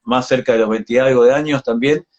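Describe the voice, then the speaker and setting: An Argentine man in his forties speaks in a casual, reflective interview style. His voice sits at an average pitch and often seeks agreement. The audio is somewhat dull and lo-fi. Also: steady tone, fairly quick. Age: 40s. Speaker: yoga practitioner being interviewed. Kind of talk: casual live interview chat.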